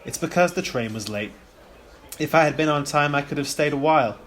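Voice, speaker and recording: A man's voice: on the high side, fairly quick, a bit bright, natural voice. A man acting a polite, uneasy young son in a radio play, speaking in a hesitant, placating way. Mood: apologetic, pleading.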